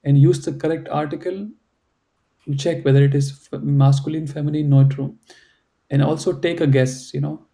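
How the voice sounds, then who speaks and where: A male teacher explaining grammar over a video call, with a gravelly, very dark voice that stays flat and even. He talks patiently and leans on "you know" and "right" as he goes. English is not his mother tongue. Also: on the high side, moderate pace. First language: not English or German. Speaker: German language teacher. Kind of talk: online class instruction.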